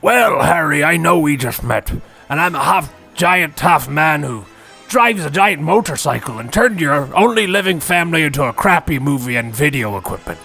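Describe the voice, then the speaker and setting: A man acts out a big, crude, kindly half-giant groundskeeper from a comedy parody. He speaks a hearty, rustic British dialect with a gravelly edge. His delivery swings freely in pitch. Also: expressive, moderate pace, sharp tone, soft background music, heavily acted. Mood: warm, coaxing.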